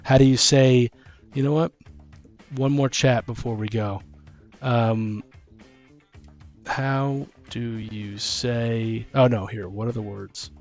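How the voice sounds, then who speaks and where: A man's voice: mid-range voice, fairly even intonation, slow, pauses often, darkish tone, faint music behind. A man in casual podcast chat about tabletop gaming as co-host, informal and thinking aloud as he goes. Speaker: co-host and dungeon master.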